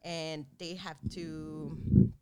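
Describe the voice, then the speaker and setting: A woman who grew up in Sweden, chatting as an interview guest, laughing often and speaking in halting phrases. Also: deep voice, little pitch movement, warm tone, smooth. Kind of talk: casual interview chat.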